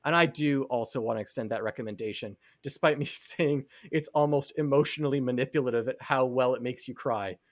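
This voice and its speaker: A man's voice: mid-range voice, a little fast, few pauses, no echo, studio quality. A man co-hosting a podcast in casual chat, talking in a rambling way peppered with "like". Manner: rambling, filler-heavy conversational delivery with frequent "like". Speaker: podcast co-host.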